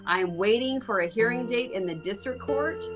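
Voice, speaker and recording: A woman's voice: on the high side, moderate pace, music in the background. A woman answering in spontaneous personal testimony.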